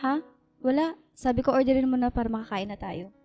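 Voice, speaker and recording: A woman's voice: sits high, a little fast, mellow, faint music behind, lightly acted. A woman acting a coy, candid young woman in love, talking conversationally in a radio romance drama. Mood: casual, shy.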